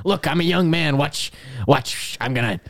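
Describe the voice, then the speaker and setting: A man playing an eccentric elderly retiree in his eighties on an improvised comedy show, halting, repetitive and pleading as he insists he is still young. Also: a little high, lightly acted. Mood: pleading, insistent. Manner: halting, rambling, repeats himself pleadingly. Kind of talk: improvised comedy character interview.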